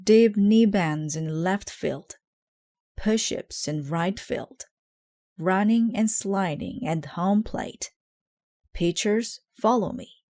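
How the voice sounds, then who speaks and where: A woman's voice: mid-range voice, slow pace, mellow, voice pushed up. A woman voicing a bossy, eager young male mouse who captains a baseball team in a storybook, exclaiming his orders. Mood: bossy, rallying. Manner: commanding, exclaiming.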